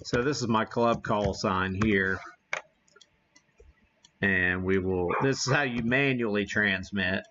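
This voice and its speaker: A man talks casually as he hosts a live demonstration. His delivery is slow and halting, as if working things out aloud, with a folksy, self-deprecating manner. The recording is somewhat dull and lo-fi. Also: lowish voice, pushed and bright, a little hiss or hum. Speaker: host of a live ham radio demonstration. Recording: dull, muffled lo-fi sound.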